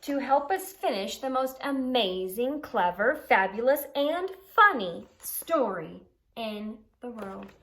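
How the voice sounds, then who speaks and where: A woman's voice: a little high, lively intonation, slow, a bit bright, full character voice. A woman voicing a cheerful, clever, helpful narwhal in a children's storybook, upbeat and enthusiastic. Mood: welcoming.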